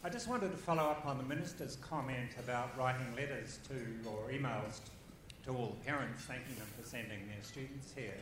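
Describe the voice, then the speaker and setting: Man, business-like question, very roomy hall, clear background noise. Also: medium pitch. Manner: measured, business-like, with fillers. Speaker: audience member asking a question. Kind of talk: spoken question at a panel.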